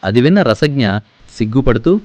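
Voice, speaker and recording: A man's voice: mid-range voice, big pitch swings, normal speed, a little mellow, dry recording. A man reading scripted storybook narration.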